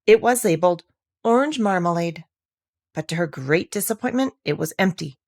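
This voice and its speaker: A woman reading a children's story aloud as expressive narration. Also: on the low side, normal speed, no echo, clean studio sound. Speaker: audiobook reader.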